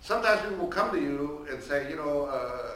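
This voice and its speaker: A man's voice: a little high, animated, a little fast. An older man, a professor speaking on a panel without a microphone, projecting a big voice and restarting his sentences often. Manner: loud projecting voice with many restarts and fillers. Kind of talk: unmiked panel talk, conversational lecturing. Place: large echoey hall, speaking without microphone. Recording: roomy echo, distant from the mic, faint ambience.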